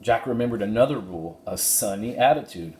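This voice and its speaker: A man giving an expressive narration of a children's storybook. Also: lowish voice, brisk. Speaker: story reader. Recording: roomy echo, faint background noise.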